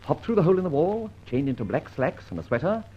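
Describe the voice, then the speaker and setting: An actor playing a suave, flippant British gentleman adventurer in an old-time radio detective drama, with a teasing, droll manner. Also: a little high, very animated, fast, husky. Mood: amused, decisive. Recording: dull, noisy old radio recording.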